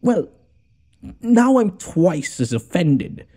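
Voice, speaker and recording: A man's voice: high-pitched, big pitch swings, very slow, dark tone, heavily acted. A man playing a haughty, wounded noble lord in an audio roleplay, with a theatrical, sarcastic and exasperated delivery. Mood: offended, exasperated. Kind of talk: scripted audio roleplay addressed to the listener.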